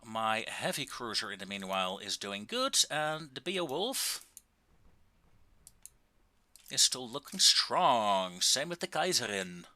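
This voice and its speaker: A man giving casual, wry and understated commentary on a video game, with drawn-out pauses, into a close microphone. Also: mid-range voice, sing-song, bright, forceful tone, dry recording.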